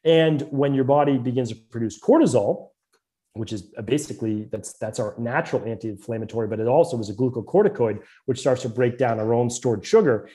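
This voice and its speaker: A middle-aged man with a Boston accent talks through medical ideas at length, earnest and thorough, often restarting a phrase to get it right. His voice is mellow and dark, sitting at an ordinary pitch for a man. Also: a little fast. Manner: earnest, detailed, fluent medical explaining with frequent restarts. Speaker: naturopathic doctor, podcast guest. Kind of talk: explanatory interview talk.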